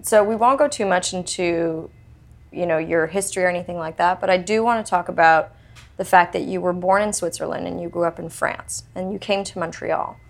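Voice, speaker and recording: A woman hosting an interview, asking measured, probing questions. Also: fairly low pitch, creaky. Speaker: host.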